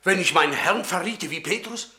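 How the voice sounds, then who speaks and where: Forceful, animated pious strongman. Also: high-pitched, a little fast, roomy sound, exaggerated voice. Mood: indignant. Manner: declamatory, forceful, swinging from pious to thundering. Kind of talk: theatrical radio play acting.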